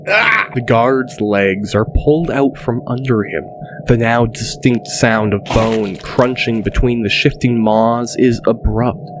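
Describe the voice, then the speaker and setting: A male narrator reads a dramatic fantasy audiobook. His delivery is slow and deliberate, at an average pitch, with music playing clearly behind him. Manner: deliberate, descriptive.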